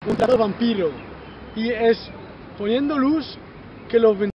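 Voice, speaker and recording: Un hombre de voz bastante aguda da una charla con frases que se le rompen y vuelven a empezar. El audio suena apagado y de baja fidelidad, con un ruido de coches muy presente de fondo. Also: male voice, very high-pitched. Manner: halting, many restarts and broken words. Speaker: activist speaker. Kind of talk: conference talk. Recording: dull lo-fi audio with loud traffic noise.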